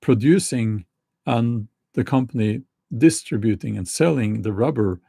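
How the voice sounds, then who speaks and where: A middle-aged man with a Norwegian accent talking casually to camera, slow and halting with many restarts. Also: mid-range voice, gravelly, mellow, breathy edge, dry recording, clean studio sound. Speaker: YouTuber.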